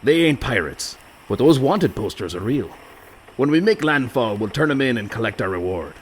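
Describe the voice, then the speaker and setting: An actor playing a sly, illiterate pirate ruffian in a comic radio play, with mock-jovial menace and rough, ungrammatical pirate talk. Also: male voice, mid-range voice, expressive, normal speed, natural voice. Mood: scheming. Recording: clear background noise.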